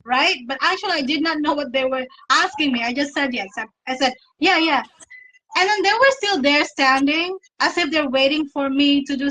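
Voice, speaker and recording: A woman's voice: sits high, sharp tone, poor audio. A woman, chatting casually as the interviewer and sharing stories from her own teaching.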